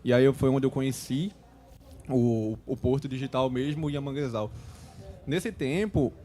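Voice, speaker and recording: A man, hoarse from a lingering cold, speaking as a panelist on a conference stage and often clearing his throat. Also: mid-range voice, unhurried, warm tone, slight background noise. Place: conference stage before an audience.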